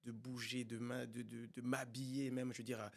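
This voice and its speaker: A man's voice: mid-range voice, flat delivery, normal speed, vocal fry. A male singer from Congo talks as a guest on a podcast. He chats loosely and rambles, with a joking, easygoing manner. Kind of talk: casual podcast chat.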